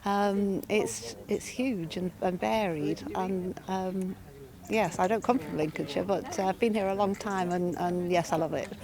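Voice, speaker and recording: Woman walker, relaxed and enthusiastic vox pop, crowd noise behind. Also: medium pitch, measured pace. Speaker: member of a walking group.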